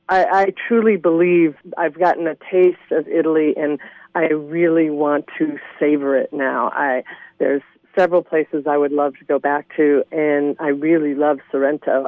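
A woman caller phoning in to a radio show, gushing and excited about her travels. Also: low voice, normal speed, dry recording. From Colorado. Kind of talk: phone-in chat. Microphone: phone. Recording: lo-fi phone line.

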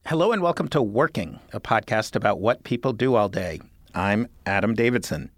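Casting: male interview host, slightly low voice, informal and keen, conversational podcast delivery. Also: expressive, moderate pace. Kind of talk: casual interview.

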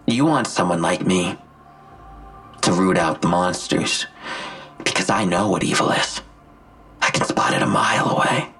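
An actor playing a smug, menacing vigilante villain in an audio drama, articulate and self-righteous, with a gravelly, husky voice and a deliberate, taunting delivery. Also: male voice, low-pitched, a bit bright, soft background music, exaggerated voice. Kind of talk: full-cast audio drama acting.

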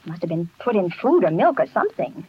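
Anxious, quick-talking sleuth's companion. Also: female voice, lowish voice, big pitch swings, slightly rough, dry recording, heavily acted. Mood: puzzled. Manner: breathless, questioning, worried. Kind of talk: 1930s radio drama acting. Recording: muffled vintage radio recording.

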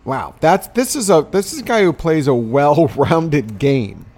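A man co-hosting a casual sports podcast, chatting in an animated, bantering way. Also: on the high side, unhurried.

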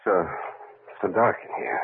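A man's voice: normal speed, close to an everyday voice. An actor playing a bold, skeptical man, assertive and questioning, in a 1940s radio drama. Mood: bold, wary. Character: bold, skeptical man pressing into a sinister mystery. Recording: very noisy, narrow-band old radio recording.

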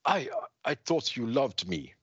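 A weakened young hero, hurt and betrayed, speaking in a pained, halting voice, as a man reads him a little above his own deep voice. The sound is dry and a bit dull. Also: sing-song, fairly bright tone, husky, no echo, full character voice.

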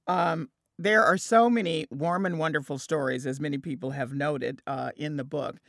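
A woman's voice: low-pitched, moderate pace, gravelly, a bit bright, dry recording, studio quality. A woman hosting a conversational radio interview, chatty and emphatic in how she talks.

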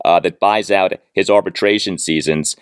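An American man hosting a sports podcast, giving a solo baseball recap with emphatic, deliberate phrasing and dramatic pauses. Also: sits low, steady tone, clean tone, dry recording, studio quality.

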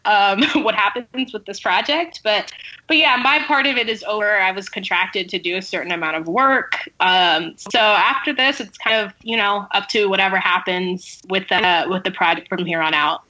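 A woman's voice: mid-range voice, normal speed, sharp tone, muffled recording. A woman speaking as a writer guest in a casual podcast interview, conversational and peppered with "like" and "sort of". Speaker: guest novelist and screenwriter.

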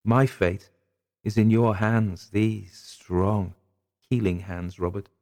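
A man's voice: on the low side, fairly even intonation, slow, darkish tone. A man voicing a genteel, anguished wife who pleads in formal, melodramatic period language, as in a dramatic audiobook. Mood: tender, desperate. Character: anguished young gentlewoman, genteel, pleading, dreading a cruel husband.

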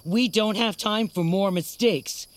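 A woman's voice: mid-range voice, measured pace, natural voice. An actress in an audio drama playing a stern, exacting occultist woman, grave and blunt as she gives instructions. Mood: angry, scornful. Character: stern, exacting female occultist ritual leader. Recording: music bed behind dialogue.

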